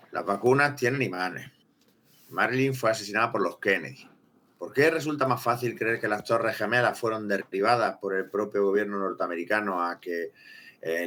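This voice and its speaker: A man's voice: fairly low pitch, fairly bright tone, close to an everyday voice. A man playing an opinionated author, talking emphatically as a guest on a conversational podcast held over a video call. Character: opinionated male author debating conspiracy theories. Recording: video call with faint noise.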